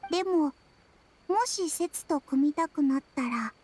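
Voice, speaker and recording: High-pitched sleepy little girl. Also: lively intonation, a little slow, darkish tone. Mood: sleepy, determined. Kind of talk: Japanese anime game voice acting.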